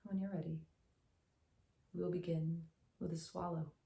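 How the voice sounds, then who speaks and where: A woman guiding a meditation as a teacher, speaking in a gentle, soothing, unhurried way with long pauses, in a slightly echoey room. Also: on the low side, little pitch movement, gravelly. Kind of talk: guided meditation, soft instructional narration.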